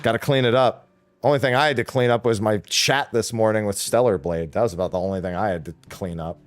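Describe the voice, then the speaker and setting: A man's voice: on the low side, fairly even intonation, quick pace. A man hosting a casual gaming podcast stream, laughing often and swearing casually.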